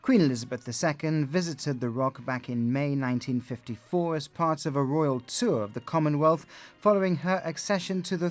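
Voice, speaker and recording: A man narrating a scripted television news report as the reporter. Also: fairly high pitch.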